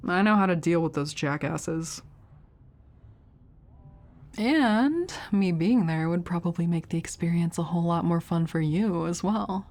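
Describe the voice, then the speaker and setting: Woman acting a teasing, caring older sister, low-toned. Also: measured pace. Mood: concerned, protective.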